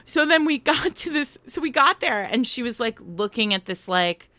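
An American woman co-hosting a podcast in casual chat, telling stories in an animated, digressive way. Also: fairly high pitch, normal speed. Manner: animated, digressive storytelling with frequent laughter. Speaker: podcast co-host.

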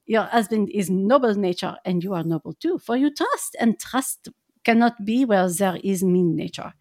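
A woman's voice: medium pitch, expressive, moderate pace, darkish tone. A woman doing an effusive old Dutch professor. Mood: earnest. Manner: broken formal English, solemn and gushing. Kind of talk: reading a novel aloud in roles.

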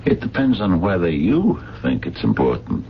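A gruff amateur sleuth in an old radio mystery. The voice is low but moves around a lot, dry and terse with some hesitation. It has the dull sound of a vintage broadcast. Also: male voice, huge pitch swings, moderate pace, slight background noise, exaggerated voice. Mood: dry, grim. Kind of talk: 1970s radio drama acting.

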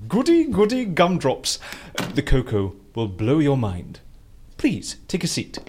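An actress playing an excitable, whimsical female boss in an audio drama, effusive and theatrical with quaint turns of phrase. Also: a bass voice, a little slow, a little hiss or hum, heavily acted. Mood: delighted, hospitable.

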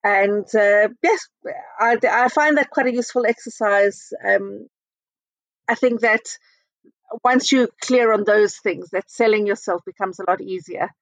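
A middle-aged woman from South Africa, a guest in a conversational interview, talking in a measured, thoughtful way with frequent ums and uhs. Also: medium pitch, dry recording, low-quality recording. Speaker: interview guest, communications consultant.

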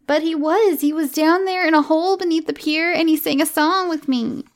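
A woman's voice: extremely high pitch, moderate pace, pitched above the performer's own voice. A woman voicing a small, earnest little girl who is innocent and insistent, in a story reading.

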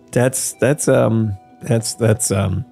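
A man, probably in his thirties, hosting a casual podcast chat in a jokey, playful, animated manner. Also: lowish voice, creaky, husky. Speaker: podcast host. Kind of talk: casual podcast chat about game lore.

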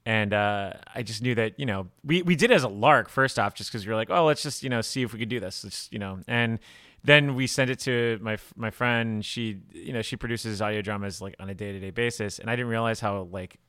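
A man's voice: fairly low pitch, fairly quick, a bit bright, dry recording, clean studio sound. A man co-hosting a podcast, chatting in an informal, rambling way with plenty of "like". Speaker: podcast co-host. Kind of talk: casual chat.

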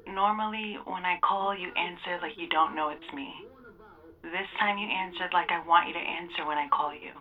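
A woman's voice: fairly low pitch, normal speed, natural voice. A woman as a teasing lover, tinny phone. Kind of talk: audio drama dialogue. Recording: thin, tinny phone line.

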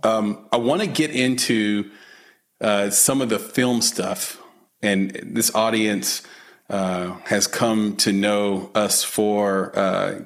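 A low-voiced man hosts a casual interview. He talks in a halting, rambling way, full of fillers and restarts, and laughs easily. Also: steady tone. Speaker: podcast host, interviewer.

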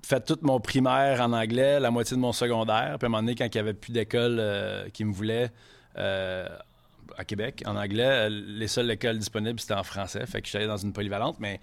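A man's voice: fairly low pitch, steady tone, fast talker, vocal fry. French-Canadian man rambling in franglais. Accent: Quebec French. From Quebec City. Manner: rambling franglais with frequent swearing. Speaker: guest chef. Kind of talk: casual podcast interview.

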